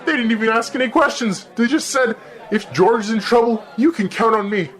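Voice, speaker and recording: A man plays a giddy elderly uncle with a very high, thin voice. He rushes out his exclamations breathlessly and laughs through them. A faint crowd murmurs behind him. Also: fairly bright tone. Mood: elated.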